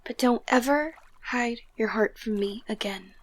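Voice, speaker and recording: A woman playing a tender, excitable young princess in love in a romantic ASMR roleplay, talking haltingly and often slipping into a whisper. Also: fairly high pitch, slight background noise, close to an everyday voice. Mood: teasing, tender.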